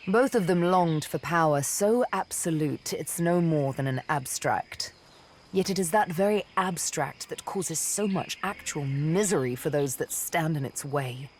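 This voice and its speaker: Slow deliberate elf assassin girl for a game, teasing n vengeful, some noise behind. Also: deep voice. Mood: conflicted, solemn.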